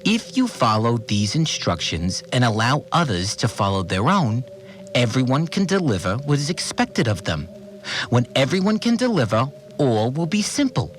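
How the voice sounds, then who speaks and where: An actor playing an earnest, aggrieved cleaner who keeps an audio diary in a naive, rambling, complaining way. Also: male voice, mid-range voice, big pitch swings, normal speed, a little hiss or hum, lightly acted. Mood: weary, put-upon. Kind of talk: audio drama monologue, conversational recorded log.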